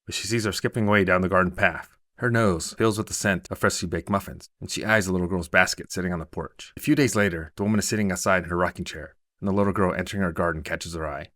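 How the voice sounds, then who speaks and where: A man's voice: deep voice, steady tone, quick pace, hardly any pauses, fairly bright tone, dry recording, clean studio sound. A man narrating a scripted short story as a storyteller.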